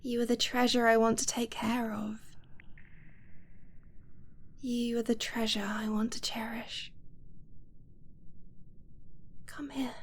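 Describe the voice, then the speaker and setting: A woman playing an affectionate young dragonblooded girlfriend in an intimate ASMR romance roleplay, speaking in a breathy, hushed way with hesitant pauses. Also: on the high side, level tone. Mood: adoring, pleading.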